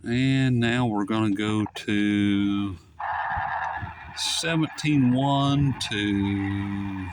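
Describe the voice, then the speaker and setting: A man's voice: on the low side, very slow. A man giving a casual, unscripted radio demonstration with folksy enthusiasm, as a hobbyist presenter. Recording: noisy, with shortwave radio static.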